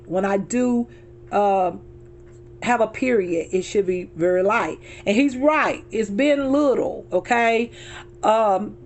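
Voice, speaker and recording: Hoarse, chatty woman vlogging from her car. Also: a little high. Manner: chatty, addresses viewers as y'all, repeats herself. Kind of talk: casual chat to viewers.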